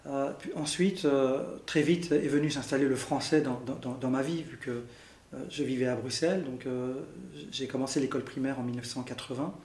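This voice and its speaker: A man's voice: mid-range voice, steady tone, a little mellow. Thoughtful man, echoey room. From Belgium. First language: Arabic. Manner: thoughtful, with many "euh" pauses and listing. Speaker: interviewee. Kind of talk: reflective personal testimony.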